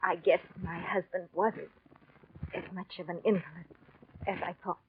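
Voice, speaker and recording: An actress playing a scheming, vengeful femme fatale in a theatrical 1940s radio drama style. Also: female voice, fairly low pitch, steady tone, moderate pace, lightly acted. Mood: weak, dying. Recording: noisy, dull 1940s radio recording with clicks.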